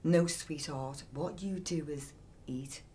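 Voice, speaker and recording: A woman's voice: low voice, slow, warm tone, pitched below the performer's own voice. Lady narrator as a frank woman pal in an audiobook, chiding, teasing but fond. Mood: gentle chiding. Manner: blunt, chiding.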